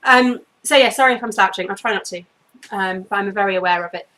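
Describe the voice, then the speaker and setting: A woman in her thirties talks casually to camera from her bedroom, a bit sniffly. Her voice is bright and clear, and she rambles off on tangents, apologizing with frequent ums. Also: mid-range voice, quick pace, poor audio. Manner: chatty, apologetic, goes off on tangents with many ums. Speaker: knitting podcast host. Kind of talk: casual video podcast chat. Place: bedroom at home, indoors.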